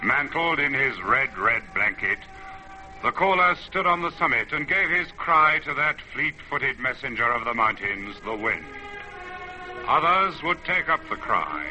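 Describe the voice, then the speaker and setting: An ancient, watchful mountain spirit in a vintage radio drama. The delivery is solemn, poetic and declamatory, with music clearly behind it. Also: male voice, mid-range voice, very animated, unhurried, sharp tone, full character voice, low-quality recording. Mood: solemn, brooding. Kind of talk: old-time radio drama narration.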